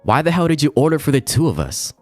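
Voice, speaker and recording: A man's voice: fairly high pitch, very animated, fast, pitched above the performer's own voice. A man voicing a jaded thirty-year-old detective in a visual novel, grumbling with weary sarcasm. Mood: irritated. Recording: roomy echo, music behind.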